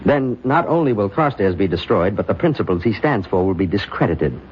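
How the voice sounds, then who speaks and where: A man's voice: on the low side, very animated, fairly quick. An actor playing a skeptical, insistent doctor in an old-time radio drama, a man who questions firmly and pointedly. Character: skeptical, probing male doctor, insistent and analytical. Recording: muffled, hissy old radio recording.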